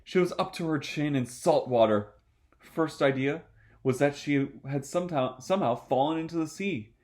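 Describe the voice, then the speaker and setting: A man's voice: fairly high pitch, moderate pace, darkish tone. A man reading a children's story aloud expressively as a storyteller.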